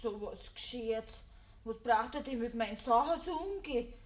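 A woman's voice: fairly high pitch, lively intonation, normal speed, natural voice. An actress playing a sullen, defiant and easily hurt young village woman with a Bavarian accent in a radio play. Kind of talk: German radio drama acting.

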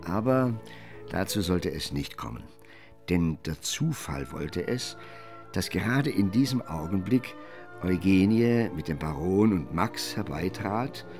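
Low-voiced literary storyteller over music. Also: male voice, lively intonation, a little slow, a little mellow. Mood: reflective. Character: reflective male storyteller of a period tale. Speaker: narrator. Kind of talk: literary radio drama narration. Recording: music under the voice.

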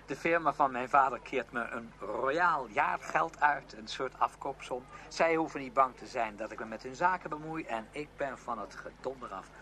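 An actor playing a headstrong, outspoken young music student in a Dutch radio play, speaking emphatically and with defiance. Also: male voice, medium pitch, normal speed, hardly any pauses, bright, forceful tone. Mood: brisk, bitter. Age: young adult. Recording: noisy old radio drama recording.

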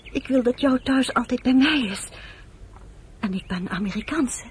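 A woman's voice: a little high, moderate pace, lightly acted. An actress playing an earnest woman who speaks with tender, pleading persuasion in a radio drama. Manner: pleading, affectionate, emphatic. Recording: noisy old radio play recording.

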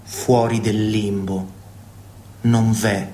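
A man in his thirties reading a scripted, literary radio narration in a measured, poetic way. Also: lowish voice, little pitch movement, dark tone, slight background noise. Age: 30s. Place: radio broadcast.

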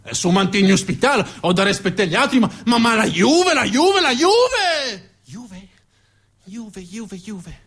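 A man's voice: high-pitched, sing-song, takes it slowly, a bit bright, breathy edge, full character voice. A man acting a fanatical football fan in a stage monologue, exclamatory and repetitive, with dialect. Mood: sheepish, stubborn. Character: fanatical male Juventus fan, rough dialect, new father.

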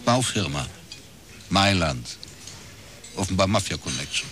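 An actor playing a wry, laconic private detective with a husky voice, in radio crime drama narration and dialogue. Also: male voice, sits low, unhurried. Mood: probing. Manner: dry, deadpan, understated. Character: wry, laconic male East Berlin private detective, ex-academic.

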